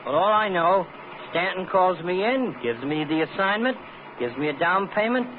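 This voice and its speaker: A man's voice: high-pitched, lively intonation, moderate pace. An actor playing a genial, dim-witted New hired gunman in a 1950s radio drama, slangy, apologetic and matter-of-fact. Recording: tinny, noisy old radio recording.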